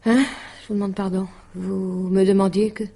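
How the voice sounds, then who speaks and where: An actress playing a courteous, hesitant woman who reads horoscopes, measured and polite, in a 1950s-style French radio drama. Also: medium pitch, level tone, creaky, warm tone, breathy edge. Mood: uneasy, hesitant. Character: courteous, hesitant woman translator and amateur astrologer.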